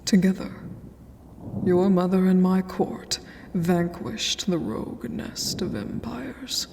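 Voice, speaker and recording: A haughty, ageless vampire queen for a fantasy ASMR roleplay. Her words come extremely slowly in a regal, condescending half-whisper with a crackling fry. A long, cavernous reverb surrounds her. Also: on the low side, darkish tone. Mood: proud, stern. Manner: formal, measured, imperious, breathy half-whisper.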